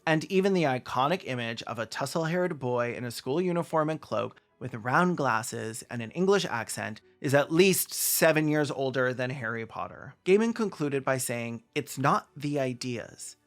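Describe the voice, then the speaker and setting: A man's voice: on the high side, a bit bright. A man delivering scripted commentary as a video essayist, sardonic and emphatic, with casual asides. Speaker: video essayist, channel host.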